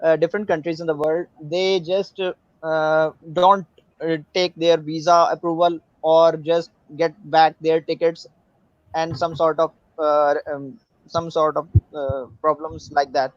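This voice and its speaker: A man from Pakistan practicing English in an online group, speaking haltingly with many fillers over a video call. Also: a little high, flat delivery, no echo. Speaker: participant in conversation group. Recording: dry, dull lo-fi call audio.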